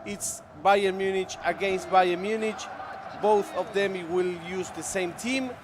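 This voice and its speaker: A man's voice: sits high, fairly even intonation, unhurried, noisy background. A male co-commentator who is a native Spanish speaker, giving upbeat color commentary on an esports football match and talking straight to the viewers. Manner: upbeat, addresses the chat directly.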